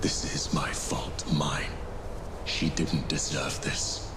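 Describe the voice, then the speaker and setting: A man's voice: a bass voice, flat delivery, takes it slowly, background noise. A man playing a noble, guilt-ridden, self-sacrificing god in a dramatic fantasy game. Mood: grieving, hushed. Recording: echoing, loud music behind.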